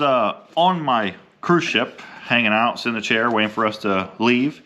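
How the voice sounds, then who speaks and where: A young adult man with a slightly hoarse voice from a cold, chatting casually and rambling with many ums while unboxing fishing gear. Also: fairly low pitch, pushed and bright. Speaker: fishing vlogger.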